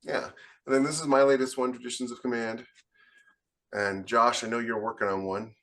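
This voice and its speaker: A man's voice: medium pitch, fairly even intonation, moderate pace, fairly bright tone. A man talking casually as a novelist on a podcast panel, in an informal discussion.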